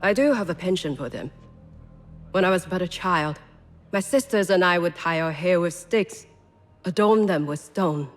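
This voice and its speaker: An actress playing a proud, refined, ruthless female treasurer in a video game, poised and deliberate in delivery. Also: lowish voice, lively intonation, soft background music, lightly acted. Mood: admiring, wistful. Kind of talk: dramatic video game acting.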